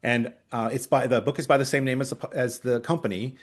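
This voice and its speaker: A man's voice: medium pitch, fairly even intonation, quick pace. A man hosting a podcast, introducing his guest with a few small stumbles. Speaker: podcast host.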